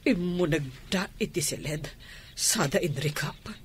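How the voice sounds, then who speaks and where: An actress playing a hurt, angry betrayed wife in a Filipino radio drama, with an emotional, deliberate delivery and a Filipino accent. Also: female voice, low-pitched, animated, slight background noise. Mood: suspicious. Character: hurt, angry wife confronting her cheating husband.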